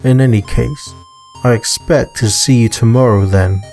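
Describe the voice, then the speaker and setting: A man voicing an anxious adult male neighbour in a visual novel, speaking haltingly. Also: medium pitch, gravelly, a little mellow, faint music behind, lightly acted. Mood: awkward.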